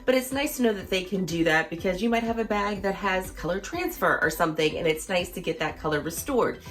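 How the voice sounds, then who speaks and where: A woman in her thirties, a fashion vlogger, chatting in a casual, enthusiastic way, her voice a little hoarse as she gets over losing it. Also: on the low side, animated, brisk, fairly bright tone, soft background music. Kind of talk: casual product review vlog.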